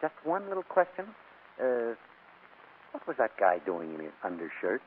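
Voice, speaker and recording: An actor playing a coaxing, easily flustered husband in a 1940s radio comedy, on a tinny old recording. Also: male voice, mid-range voice, huge pitch swings, normal speed, very noisy. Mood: puzzled, indignant. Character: wheedling husband caught in a fib by his wife.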